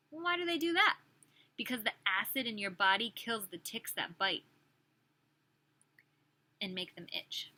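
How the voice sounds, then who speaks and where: A woman's voice: fairly high pitch, sharp tone, low-quality recording. A woman preschool teacher reading a picture book aloud expressively, with questions and chatty asides for small children.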